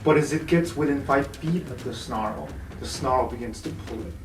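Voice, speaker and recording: A man's voice: medium pitch, moderate pace, distant mic, a little hiss or hum. A man running a tabletop game as game master, improvising narration and giving rulings. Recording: echoey room, off mic, music underneath.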